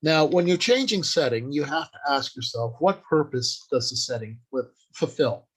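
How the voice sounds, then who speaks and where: A man giving an instructional talk as a workshop speaker, deliberate and emphatic, speaking in short declarative phrases with pauses. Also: a little high, lively intonation, husky.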